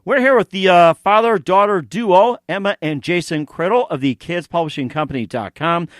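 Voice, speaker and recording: A man's voice: high voice, moderate pace, dry recording, clean studio sound. A man hosting a podcast in an effusive, enthusiastic way. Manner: gushing, effusive. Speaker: podcast host. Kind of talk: enthusiastic interview chat with read sponsor plugs.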